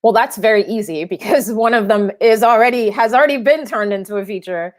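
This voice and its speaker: A woman's voice: a little high, a little fast. A young adult woman filmmaker whose first language is French, answering interview questions thoughtfully, with many restarts and fillers. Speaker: filmmaker guest.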